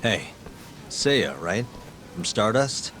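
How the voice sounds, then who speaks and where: A man playing a laid-back, deadpan private detective in a video game dub. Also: on the low side, slow pace, music in the background. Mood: casual.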